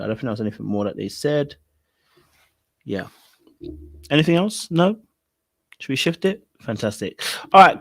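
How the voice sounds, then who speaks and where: A man's voice: medium pitch, no room sound. Dry-sounding laid-back male podcast host. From Ghana. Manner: laid-back, chatty, laughs easily. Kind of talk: casual reality-show discussion.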